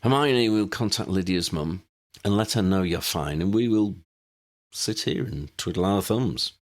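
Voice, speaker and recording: A man's voice: deep voice, a little slow, pitched above the performer's own voice. A man voicing a serious, cautious young male wizard leader, firm and decisive, in an audiobook.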